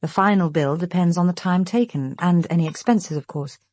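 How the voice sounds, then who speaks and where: An actress playing a cool, businesslike British private detective in a radio drama, reserved and precise. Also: female voice, on the low side, a little fast, a little mellow, dry recording, studio quality, lightly acted.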